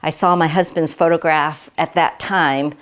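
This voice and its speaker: Slow, low-voiced reflective woman. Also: steady tone. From Vietnam. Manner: reflective, halting with many fillers and restarts. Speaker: interviewee. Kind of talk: oral history interview.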